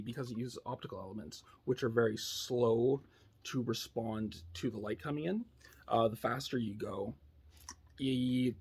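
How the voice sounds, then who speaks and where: A man giving a casual, unscripted technical demo in a laid-back, hesitant way, thinking aloud, with a husky, slightly gravelly voice. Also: mid-range voice. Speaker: electronics builder demonstrating a device.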